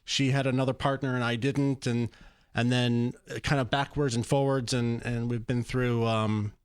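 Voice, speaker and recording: A man's voice: mid-range voice, flat delivery, normal speed, clean tone, no echo, clean studio sound. Hesitant man sharing his story. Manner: hesitant, searching for words. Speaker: panel speaker. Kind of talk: casual personal storytelling.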